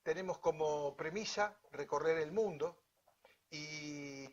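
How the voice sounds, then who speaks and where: A man with an Argentine accent hosts an interview show. His voice is fairly high and husky, and the sound is dull and lo-fi. He greets and questions with formal courtesy. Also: takes it slowly. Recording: dull, lo-fi audio.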